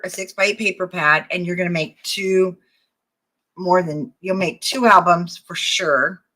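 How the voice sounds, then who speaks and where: A woman's voice: on the low side, sharp tone. An older woman teaching a craft tutorial, chatting and greeting viewers as she works, her voice wearing thin. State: losing her voice. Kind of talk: live-streamed craft tutorial.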